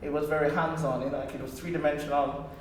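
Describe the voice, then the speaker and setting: A man speaking as a podcast guest, relaxed and reflective, often restarting his sentences. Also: on the high side, quick pace, slight background noise. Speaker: guest, goldsmith and designer. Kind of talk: casual podcast interview. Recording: very echoey hall, far from mic.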